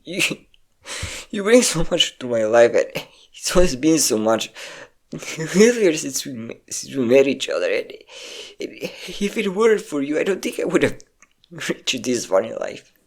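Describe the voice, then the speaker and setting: A performer with a low voice playing an insecure, depressive young adult best friend in an intimate, halting audio roleplay monologue. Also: very animated. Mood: tearful, pleading. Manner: emotional, confiding, halting.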